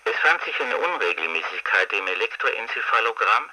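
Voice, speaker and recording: An impersonal reader of clinical reports in a radio drama. His low voice has a very bright, hard edge. He delivers everything formally and precisely, without involvement. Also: big pitch swings, fairly quick, heavily acted, poor audio. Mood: clinical, detached. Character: detached male reader of clinical medical reports.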